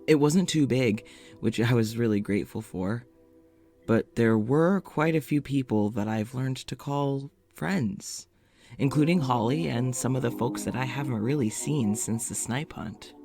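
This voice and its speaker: Self-doubting, nervous man male actor for audio drama monologue; mid-pitched, halting, confessional, faint music underneath. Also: a little mellow. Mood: thoughtful, flustered. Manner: halting, rambling, self-deprecating. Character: anxious, self-doubting male doctor confiding in an audio journal.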